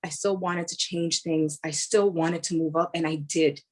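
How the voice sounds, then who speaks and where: A woman's voice: low voice, fairly even intonation, moderate pace, darkish tone. An actress playing a driven, weary woman police officer in a staged play reading, earnest and blunt in short emphatic phrases. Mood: determined.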